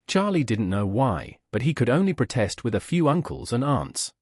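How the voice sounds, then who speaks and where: A man reading a story aloud as scripted narration, with a husky voice. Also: mid-range voice, sing-song, moderate pace, a little mellow, dry recording, clean studio sound. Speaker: audiobook narrator.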